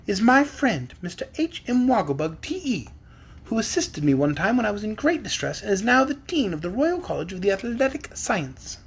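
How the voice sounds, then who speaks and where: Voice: medium pitch, sing-song, normal speed, husky, pitched above the performer's own voice. A reader voicing a gracious, composed young princess.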